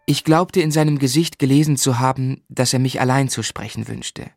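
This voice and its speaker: An actor playing a sensitive, courteous gentleman who narrates his own life in an earnest, literary radio drama style. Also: mid-range voice, normal speed, vocal fry, no echo, clean studio sound. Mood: wistful narration. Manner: earnest, reflective.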